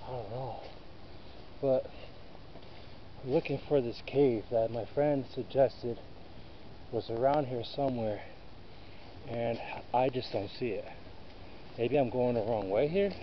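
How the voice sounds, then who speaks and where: A man in his thirties talks loosely to the camera as he hikes, muttering and rambling as he goes. His voice is neither high nor low, caught on a phone held at arm's length. The sound is muffled, with clear outdoor noise behind him. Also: measured pace, pauses often. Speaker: vlogger. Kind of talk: casual hiking vlog. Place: outdoors in woods by a river.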